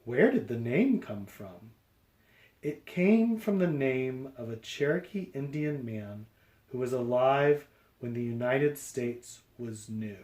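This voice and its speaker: A man reading a picture book aloud to young children, explaining deliberately phrase by phrase. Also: medium pitch, expressive, a little mellow, roomy sound.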